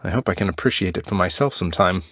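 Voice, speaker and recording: An actor playing a young underworld prince in a video game, polite and cheeky, with dry, understated wit and a determined streak. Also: male voice, low-pitched, very fast, a bit bright, dry recording. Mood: polite, wry. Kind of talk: video game character voice lines.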